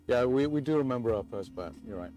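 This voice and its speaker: Man from England, co-commentator, mid pitch, easygoing, faint music behind. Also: fast. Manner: relaxed, frequent agreeing yeahs. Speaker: cricket co-commentator. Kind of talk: cricket commentary chat.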